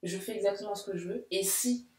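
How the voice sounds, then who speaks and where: A woman's voice: medium pitch, quick pace, a little mellow. A woman in her late twenties, a guest in a casual discussion, who talks reflectively in long runs. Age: late 20s. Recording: roomy echo.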